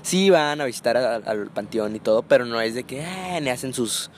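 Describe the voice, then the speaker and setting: A man's voice: mid-range voice, expressive, moderate pace. A man from northern Mexico talks in an easygoing way, laying out holiday customs patiently and plainly. His northern Mexican accent comes through in a mid-range voice. A faint hiss sits under the recording. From Sonora, northern Mexico. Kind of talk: casual chat.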